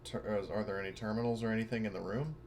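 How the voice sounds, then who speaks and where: Male tabletop player, lowish pitch, casual conversational delivery, slight background noise. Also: steady tone, fast talker, a little mellow. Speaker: player in a tabletop roleplaying game. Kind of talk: casual tabletop roleplay chat.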